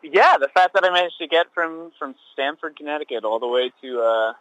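A man's voice: a little high, animated, fairly quick, fairly bright tone, dry recording. A man in his thirties, a teacher, chatting wryly and rambling with lots of fillers, on a phone call from a sheltered spot outdoors. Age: 30s. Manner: rambling, wry, many fillers and restarts. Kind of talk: casual phone chat.

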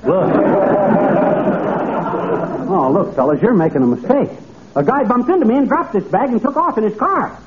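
An excitable high-voiced folksy blusterer. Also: male voice, moderate pace, long pauses. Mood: flustered protest. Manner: indignant folksy exclamations and colorful grumbling. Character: excitable, blustering, folksy man with big grumbles. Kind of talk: 1940s-50s radio comedy acting. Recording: tinny, noisy old radio recording.

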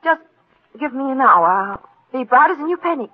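Affectionate society wife with hidden nerves: high, very lively intonation, 1940s radio melodrama. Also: female voice, moderate pace. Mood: uneasy. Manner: theatrical, genteel, affectionate. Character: wealthy, affectionate young wife hiding nervous unease. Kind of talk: 1940s radio drama acting. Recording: thin, phone-like vintage radio recording.

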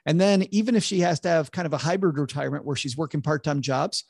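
A man's voice: on the high side, fast talker, creaky, darkish tone, breathy edge, no room sound, clean studio sound. A man, a financial advisor guest on a podcast interview, explaining earnestly with frequent stammered restarts.

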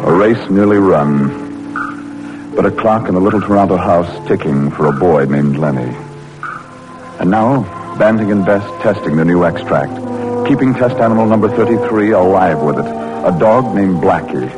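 A solemn radio drama narrator speaks in a lowish voice with measured, dramatic delivery. Loud music plays behind him on an old radio recording. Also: male voice, lively intonation, rough recording. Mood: solemn, suspenseful. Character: solemn male narrator of a medical history drama.

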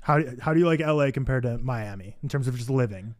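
Fast-talking upbeat young podcast host. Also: male voice, mid-range voice, a little mellow, dry recording, clean studio sound. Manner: upbeat, talks over guests. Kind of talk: casual podcast chat.

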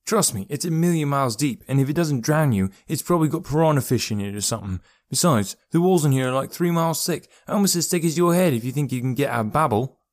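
A man's voice: mid-range voice, lively intonation, fast talker, hardly any pauses, no echo, pitched above the performer's own voice, natural voice. A man voicing a mischievous, chatty young boy in an audiobook, with a teasing, breezy, wry manner. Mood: wry, boastful. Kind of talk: audiobook character voice.

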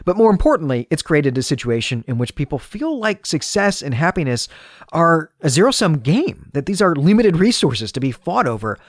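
A man speaks in a slightly high voice that rises and falls in a lively way. He delivers literary analysis as a podcast host, articulate and explanatory, much like a lecturer working from an outline. The sound is close and dry, with little room around it. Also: moderate pace, dry recording. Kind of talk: literary analysis talk, semi-scripted monologue.

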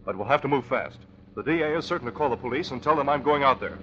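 An actor playing a resolute, cool-headed crime-fighter in a 1940s radio adventure, with measured, decisive delivery. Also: male voice, mid-range voice, animated, natural voice. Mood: earnest, decisive. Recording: dull, noisy old radio recording.

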